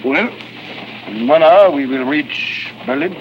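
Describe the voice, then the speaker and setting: An actor playing a genial, sly European smuggler in a 1950s adventure drama, florid, courteous and playfully confiding. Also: male voice, on the high side, animated, slow. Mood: contented. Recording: dull vintage soundtrack, loud train-like noise.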